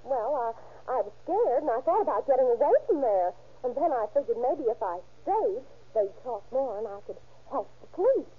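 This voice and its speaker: Husky, giggly woman informant on old radio. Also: high voice, very animated, moderate pace, few pauses, exaggerated voice. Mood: confiding. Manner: chatty, hesitant, slangy, with little nervous laughs. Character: nervous, giggly woman informant from a beer joint. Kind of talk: 1930s radio crime drama acting. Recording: muffled, tinny old-time radio recording.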